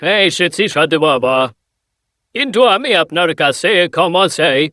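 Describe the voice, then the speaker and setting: Poor pleading woodcutter father , voiced by a man: high, bright, dry studio read. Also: lively intonation, normal speed, no room sound. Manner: pleading, tender.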